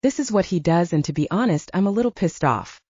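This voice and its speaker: A woman's voice: lowish voice, animated, brisk, a little mellow, slightly rough, no room sound, muffled recording. A woman voicing a cocky, teasing, pragmatic male soldier in an audiobook, with casual bantering and coaxing. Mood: defensive. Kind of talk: dramatized audiobook dialogue.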